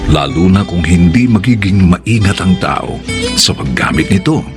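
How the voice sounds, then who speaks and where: A man's voice: deep voice, lively intonation, a little slow. A man with a Filipino accent narrating and announcing a radio drama in a dramatic scripted style. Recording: music under the voice. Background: background music.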